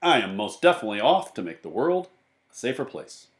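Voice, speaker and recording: A man playing a dutiful, self-important policeman with a deliberate, formal manner in a children's storybook. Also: lowish voice, sing-song, echoey room. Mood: earnest, self-important. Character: pompous, dutiful policeman who questions and answers himself.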